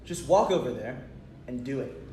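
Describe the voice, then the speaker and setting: A man's voice: mid-range voice, lively intonation, moderate pace, a little mellow, natural voice. A man plays a cocky teenage lad who teases and goads his friend with sarcastic banter. It is a stage play read-through, picked up from a distance in a roomy space with faint noise. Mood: pushy, exasperated. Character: cocky teasing teenage schoolboy, pushy best friend.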